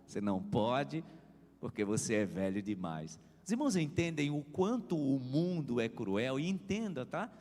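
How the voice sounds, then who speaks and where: A man's voice: medium pitch, big pitch swings, moderate pace, a little mellow. A man preaching a sermon as pastor, driving his points home with swelling emphasis and repeated refrains. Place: church hall. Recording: reverberant church hall.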